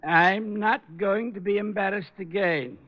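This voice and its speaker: A man's voice: high-pitched, a little slow. A man playing a dutiful, long-suffering butler in a 1940s radio drama, formal, deferential and stuffy. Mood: stuffy, put-upon. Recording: muffled old radio recording.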